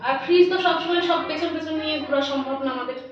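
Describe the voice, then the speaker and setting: A woman's voice: high voice, rapid-fire. A woman with a West Bengal Bengali accent chats casually to her viewers as a lifestyle vlogger, showing her shopping. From West Bengal, India. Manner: chatty, addresses viewers as friends. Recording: roomy echo, distant mic, dull, faint noise.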